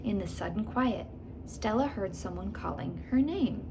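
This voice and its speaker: Animated woman reading a storybook, noisy recording. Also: medium pitch, normal speed. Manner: animated, acts out dialogue and animal sounds. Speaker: children's book reader. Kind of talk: expressive read-aloud storytelling with casual asides.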